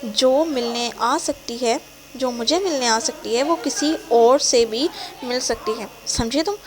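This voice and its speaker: Brief: cold, shouting boyfriend in an audio novel, a woman reading him, loud and harsh. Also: a little high, steady tone, lightly acted. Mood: angry. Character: angry callous young man boyfriend.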